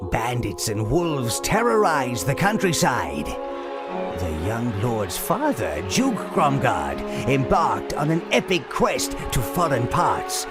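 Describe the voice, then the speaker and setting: A man's voice: medium pitch, huge pitch swings, slow pace. A man playing a gleeful, sinister old minion who serves evil, telling a fantasy game tale in a sly, theatrical way. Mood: sly, ominous. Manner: sly, theatrical, relishing every word. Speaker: narrator and advisor. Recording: loud music behind. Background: loud music.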